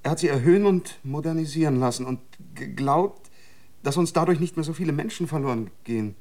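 A man's voice: fairly high pitch, a little fast, darkish tone, lightly acted. An actor in a radio drama playing a rambling, regretful man who reminisces in a hesitant, conversational way. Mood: reminiscing. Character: rambling, regretful man recalling his lost world.

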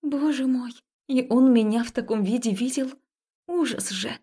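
A woman narrating a first-person romance story as an audiobook, expressive and confessional, acting out the dialogue. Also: a little high, moderate pace, breathy edge.

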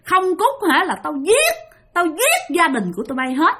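A woman doing a shrill taunting ghost hag. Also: huge pitch swings, normal speed, bright, forceful tone, exaggerated voice. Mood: menacing. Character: menacing old female ghost hag.

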